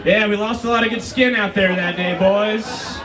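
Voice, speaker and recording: A man's voice: high voice, steady tone, moderate pace, recorded from a distance. A Brazilian-born man in his thirties doing a stand-up set, telling wry, profane stories and talking back to the crowd. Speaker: stand-up comedian. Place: comedy club stage before a live crowd. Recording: roomy club audio with crowd laughter.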